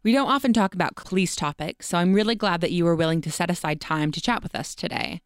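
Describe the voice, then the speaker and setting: Smooth-voiced woman hosting a podcast interview. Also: fairly low pitch, quick pace, no room sound, studio quality.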